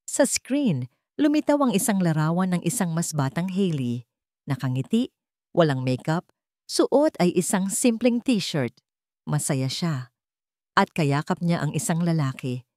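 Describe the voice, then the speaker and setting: Female Filipino storyteller: lower register, expressive swings, dramatic scripted delivery. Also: a little slow, no room sound, clean studio sound. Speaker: narrator.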